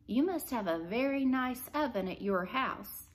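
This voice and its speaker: A woman reading aloud the part of a chatty, gently probing woman in a storybook. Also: a little high, lively intonation, unhurried, voice pushed up. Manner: chatty, leading questions. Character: Alice, chatty adult woman, delighted then gently probing. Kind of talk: storybook character dialogue read aloud.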